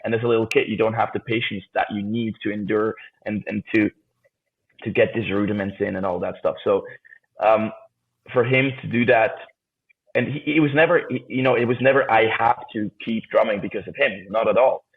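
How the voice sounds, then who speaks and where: Gravelly Swiss man on a tinny line. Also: lowish voice, steady tone, brisk. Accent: Swiss with some American. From Switzerland. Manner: laughs often, restarts phrases. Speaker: guest drummer. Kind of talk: casual interview answers. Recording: tinny phone-like remote line.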